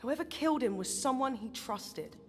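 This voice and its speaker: A no-nonsense British resistance fighter for a game. Her voice is fairly high, blunt and weary of nonsense, with a long reverb around it. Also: animated, moderate pace, husky, full character voice. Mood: brisk. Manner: brusque, impatient, blunt. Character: gruff, no-nonsense female resistance fighter, bitter, exasperated. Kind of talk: video game character dialogue.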